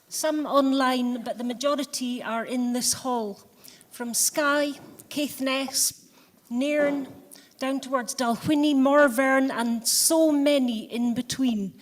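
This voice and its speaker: A woman with a gentle Scottish Highland accent, chairing a convention and welcoming everyone in a measured public speech. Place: large hall. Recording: long hall reverb.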